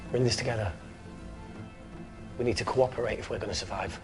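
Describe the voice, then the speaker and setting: A man's voice: on the low side, fairly even intonation, quick pace, music in the background, lightly acted. Wry, sarcastic scientist played by a man. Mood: dry, persuasive. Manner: wry, informal. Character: wry male scientist, suspicious and sarcastic under pressure. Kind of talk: live-action film acting.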